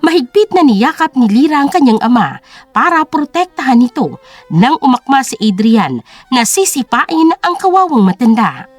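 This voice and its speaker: A woman's voice: high voice, big pitch swings, moderate pace, no room sound, soft background music. A woman narrating a scripted story as a dramatic audio drama.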